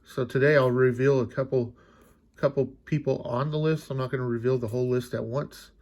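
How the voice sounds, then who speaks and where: A man's voice: medium pitch, fairly even intonation, brisk, a little mellow. A congested, stuffy-sounding man opening card packs and chatting casually, musing aloud with lots of fillers. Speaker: card collecting channel host. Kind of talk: casual pack-opening commentary.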